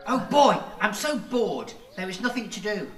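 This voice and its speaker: A woman's voice: medium pitch, very animated, moderate pace, far from the mic, heavy background noise, lightly acted. A woman playing a bored, mischievous young shepherd boy in a radio play. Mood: bored, scheming. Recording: echoey room, off mic, music behind.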